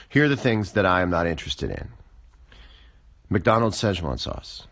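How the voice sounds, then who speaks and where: A man of forty chatting casually on a phone livestream, musing aloud with wry, deadpan asides. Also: low voice. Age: 40s. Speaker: livestream host.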